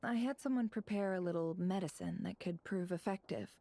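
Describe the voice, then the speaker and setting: An actress playing a gentle, composed, caring young woman in a video game, with faint noise behind. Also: fairly low pitch, brisk, lightly acted. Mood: concerned. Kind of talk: video game dialogue acting.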